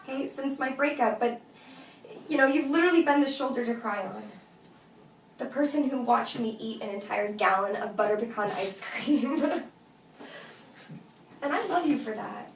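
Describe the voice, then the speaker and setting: An actress playing an exasperated but fond woman gently turning down her best friend, in naturalistic, hesitant film dialogue. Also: fairly high pitch, normal speed, pauses often, close to an everyday voice. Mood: gentle, apologetic. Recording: roomy, distant mic with faint noise.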